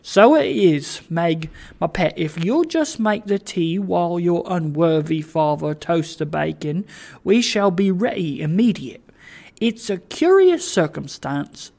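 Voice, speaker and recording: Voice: medium pitch, expressive, unhurried, gravelly, a little mellow. A narrator voicing a cheery, kindly, flustered poor old father who rambles eagerly in a storybook reading. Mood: cheerfully insistent. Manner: rambling, eager, stumbling over words.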